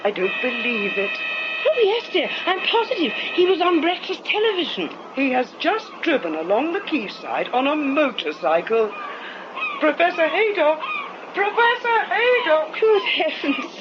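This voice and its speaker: Prim cruise-ship fusser, high and animated, emphatic, proper, radio comedy. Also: female voice, unhurried. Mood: flustered, disapproving. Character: fussy, prim, talkative woman of a cruise ship. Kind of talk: radio comedy drama acting. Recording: muffled, noisy radio broadcast copy.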